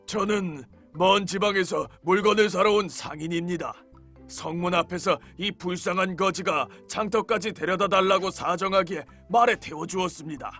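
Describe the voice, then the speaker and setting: A performer acting out a gentle king traveling in disguise for a storybook drama. He speaks calmly and with dignity, in an old-fashioned way. The voice is bright and forceful, and faint music plays behind it. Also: medium pitch, moderate pace. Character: disguised kindly king, patient middle-aged man. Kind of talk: children's storybook audio drama. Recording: soft background music.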